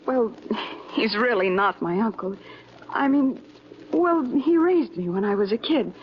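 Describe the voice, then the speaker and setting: A woman's voice: a little high, expressive, unhurried, dull audio. An actress playing a frightened, evasive young woman with hesitant, pleading speech, in 1950s radio drama style. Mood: anxious. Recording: band-limited old radio recording, faint noise.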